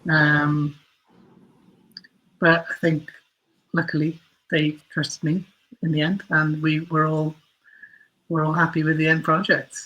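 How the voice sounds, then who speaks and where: A man with a slightly high, husky voice speaks as a guest in a reflective interview. His intonation stays fairly even, and he feels his way through thoughts, often correcting his wording. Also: slow, long pauses, dull audio. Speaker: interview guest, audio drama writer and creator.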